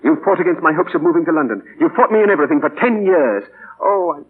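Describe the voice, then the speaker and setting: A man's voice: on the high side, lively intonation, quick pace, slightly rough. A man playing a doting, idealistic father and novelist in 1940s radio drama, speaking earnestly and theatrically, hesitant when moved. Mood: pleading, bitter. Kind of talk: 1940s radio drama acting with diary narration. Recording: muffled old 1940 radio broadcast, music behind.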